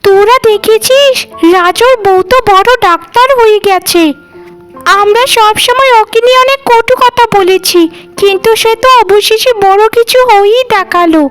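Woman putting on a high-pitched, spiteful village gossip. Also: rough recording. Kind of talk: Bengali cartoon voice acting.

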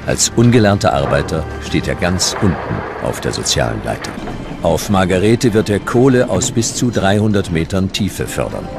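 A man with a very low voice narrates a historical documentary from a script. His intonation moves widely, giving the measured delivery a dramatic storytelling feel. Music plays behind him. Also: sing-song.

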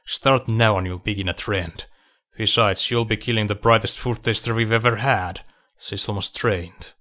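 A man voicing a confident military adviser in an audiobook, reasoning in a measured, dry, persuasive way. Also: fairly low pitch, fairly even intonation, pushed and bright. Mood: firm, persuasive. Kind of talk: audiobook character dialogue.

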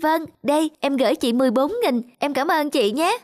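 A woman playing a polite, expressive young student in an animated moral story. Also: sits high, a little fast, close to an everyday voice. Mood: polite, sincere. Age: young adult. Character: young woman student, anxious, conscientious, light-hearted.

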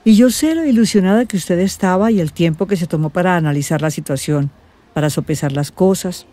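A woman's voice: on the low side, fairly quick, mellow, lightly acted. A woman playing a supportive, reassuring middle-aged mother in a radio drama.

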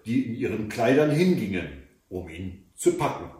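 A man voicing a stern but compassionate old monk in a fairy tale, deliberate and admonishing. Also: fairly low pitch, sing-song, a little mellow, voice pushed down. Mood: grave advice. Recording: echoey room, mic at a distance.

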